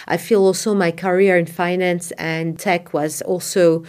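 A woman from Morocco with a lowish voice speaks in an interview about her path. She is middle-aged and reflective, and she often pauses on "you know" and restarts her sentences as she thinks aloud. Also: level tone, a little mellow. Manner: thoughtful, many "you know" fillers and restarts. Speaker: art fair founding director. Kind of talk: conversational interview answers.

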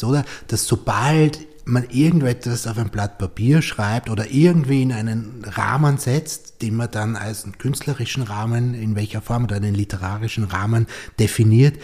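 A man's voice: fairly low pitch, normal speed, few pauses, a little mellow, husky. A man hosting a podcast interview, thinking aloud with fillers and tag questions. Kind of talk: conversational interview.

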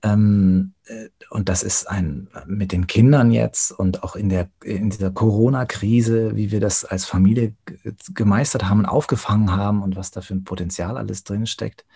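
A man speaking reflectively as an interview guest, thoughtful and often pausing to search for words. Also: on the low side, steady tone, vocal fry, dark tone, breathy edge. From Germany.

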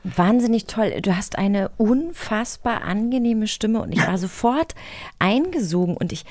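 A woman's voice: medium pitch, lively intonation, a little slow, a little mellow. A woman co-hosting a podcast, chatting casually in an effusive, gushing manner. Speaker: podcast co-host. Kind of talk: casual chat.

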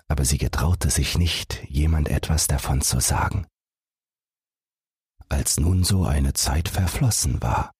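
A man's voice: extremely low pitch, a little mellow, husky, dry recording, studio quality. A man reading scripted narration as the host of a bedtime audiobook podcast.

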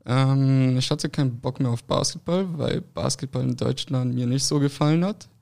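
A man's voice: mid-range voice, steady tone, moderate pace, creaky, a little mellow. A young man born in France and raised in Berlin, a football player giving short, hesitant answers with many fillers. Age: young adult. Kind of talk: casual interview answers.

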